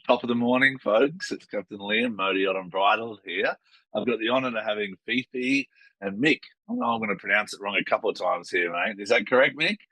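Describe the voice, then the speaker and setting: A man's voice: fairly low pitch, a little fast, bright, forceful tone, breathy edge, no room sound, poor audio. A man hosting a casual interview, upbeat and chatty. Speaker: host.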